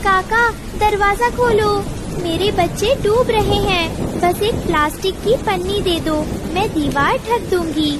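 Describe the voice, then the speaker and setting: Woman voicing a high, pleading mother bird. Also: unhurried, heavy background noise. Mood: desperate. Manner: pleading, dramatic. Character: desperate pleading mother bird, devoted wife.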